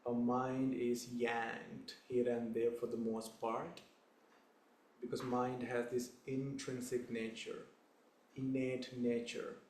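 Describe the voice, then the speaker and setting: A young man from Sri Lanka, a Buddhist monk, leading a guided meditation in a calm, unhurried way with short phrases. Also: medium pitch, roomy sound, slight background noise, low-quality recording.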